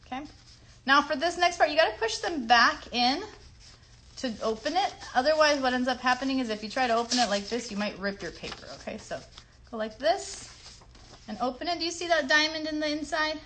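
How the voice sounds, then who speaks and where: A woman with a high, bright voice leads a craft demonstration for children. She keeps directions simple, encourages, and checks in with questions, with faint noise behind her. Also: lively intonation, brisk. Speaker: children's craft show host.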